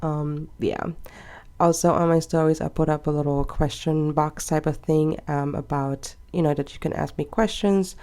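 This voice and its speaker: Low mellow voice, twenties woman chatting away while she plans, kinda rambly. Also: steady tone, normal speed. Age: 20s. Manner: chatty and rambling, with soft laughs. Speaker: planner vlogger. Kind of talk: casual chat while planning.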